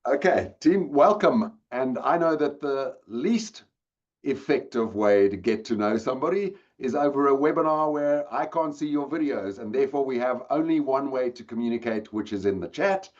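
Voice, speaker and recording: A man's voice: medium pitch, expressive, normal speed, darkish tone, dull audio. A man with a South African accent giving a webinar talk, addressing the audience directly and engagingly. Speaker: guest speaker. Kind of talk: webinar presentation. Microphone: video call.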